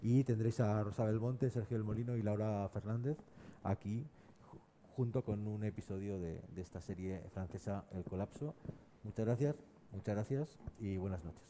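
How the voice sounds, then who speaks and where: Guy moderating a panel, really mellow dark voice, measured and a bit hesitant, faint noise behind. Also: fairly low pitch. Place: live round-table event.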